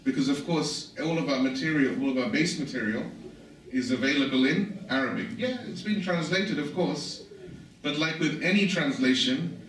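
A man's voice: on the high side, normal speed, fairly bright tone. A man from England giving an informal religious lecture, explaining things conversationally with asides. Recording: echoey hall, voice from far off, faint hiss.

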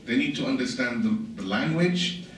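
A man's voice: mid-range voice, expressive, normal speed, a bit bright. A man from England giving an informal religious lecture, explaining things conversationally with asides. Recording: echoey hall, voice from far off, faint hiss.

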